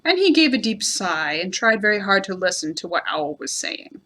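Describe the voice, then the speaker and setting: A woman reading a children's story aloud as a storyteller, in a gentle, expressive manner. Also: medium pitch, moderate pace. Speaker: library storyteller.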